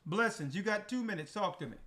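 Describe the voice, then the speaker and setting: A man with a notably high voice hosts a church livestream as an elder. He takes callers one at a time, asks short questions and gives brisk directions. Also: expressive, fairly bright tone. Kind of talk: livestream call-in talk.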